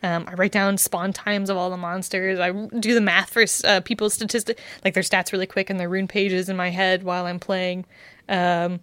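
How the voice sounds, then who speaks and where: Bright-voiced excitable woman gamer. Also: medium pitch, moderate pace, smooth, no echo. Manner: excitable, gets loud; detailed about game stats. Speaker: game industry guest. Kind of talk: casual podcast chat.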